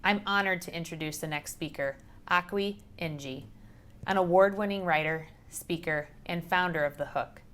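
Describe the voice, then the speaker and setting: Casting: a low-pitched woman executive, polished and formal, introducing a speaker. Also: moderate pace, a bit bright.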